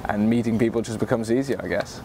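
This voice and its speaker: Young Belgian-born man, calm and lowish. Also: fast talker, slight background noise.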